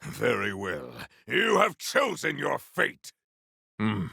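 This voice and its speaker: An actor playing a grave, honour-bound warrior with wry formality and archaic, theatrical diction in a video game. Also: male voice, medium pitch, huge pitch swings, takes it slowly, creaky, sharp tone, breathy edge, dry recording, clean studio sound, heavily acted. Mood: determined, solemn. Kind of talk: video game character voice lines.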